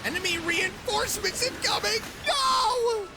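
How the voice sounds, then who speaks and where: A woman's voice: very high-pitched, a little slow. A woman game streamer giving casual, playful, exclamatory commentary as she plays. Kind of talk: casual, reactive gameplay commentary. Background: game music.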